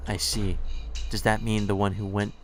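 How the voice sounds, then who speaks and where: A man's voice: fairly low pitch, flat delivery, moderate pace, a little hiss or hum, close to an everyday voice, rough recording. A man reading the lines of a composed young woman mage in a visual novel, in a level, questioning tone. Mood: probing.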